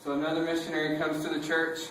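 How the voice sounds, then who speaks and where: A man's voice: fairly high pitch, completely flat delivery, quick pace, a bit bright, a little hiss or hum. A man with a Texan accent, a visiting missionary preacher who tells stories casually with lots of fillers and self-deprecating jokes. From Texas. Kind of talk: sermon with a sung opening. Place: church sanctuary. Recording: echoey church room from far off.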